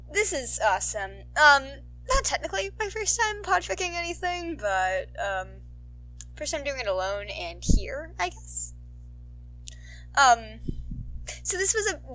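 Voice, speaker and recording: A woman, an amateur narrator, reading fan fiction aloud in an expressive, informal style. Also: high-pitched. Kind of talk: animated fan fiction narration, casual asides.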